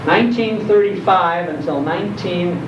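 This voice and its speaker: High-voiced older man speaking in an echoey hall. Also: normal speed. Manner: animated storytelling, repeats phrases for emphasis. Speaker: speaker on old-time radio history. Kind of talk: nostalgic talk to a live audience. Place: indoor program venue, recorded from afar. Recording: dull, distant, echoey hall recording, loud noise.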